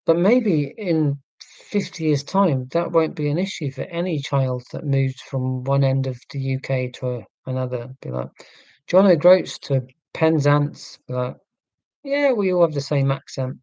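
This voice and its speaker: Middle-aged guy, neutral southern english accent, slow careful talk, mellow voice, dry sound. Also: on the high side, no room sound, muffled recording. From Scotland, raised in England. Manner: slow, deliberate speech with pauses. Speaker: vlogger. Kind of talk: casual reflective monologue.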